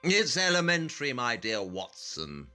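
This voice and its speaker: A razor-sharp detective, voiced by a man in an audio drama talks in a bright, forceful tone. He walks through his reasoning with dry, precise confidence, and his pitch rises and falls freely. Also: wildly swooping pitch, unhurried, faint music behind, full character voice. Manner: precise, deductive, drily confident.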